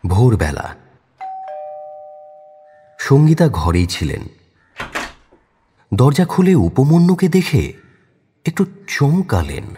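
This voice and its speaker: A man narrating a scripted story dramatically, in a dark, husky voice. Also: mid-range voice, wildly swooping pitch, takes it slowly, long pauses. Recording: long reverb over music bed. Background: music.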